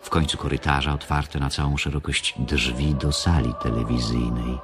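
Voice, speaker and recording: An actor narrating a radio play, reading the scene directions in a measured, descriptive manner. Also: male voice, rumbling low, level tone. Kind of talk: scripted radio drama narration. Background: music.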